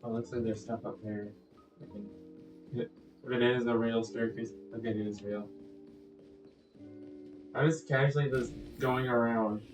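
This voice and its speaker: A man's voice: medium pitch, fairly even intonation, a little slow, long pauses. A man giving casual, offhand gameplay commentary, thinking aloud as he plays. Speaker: gaming video host. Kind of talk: casual game playthrough commentary. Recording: echoey, faulty audio over game music.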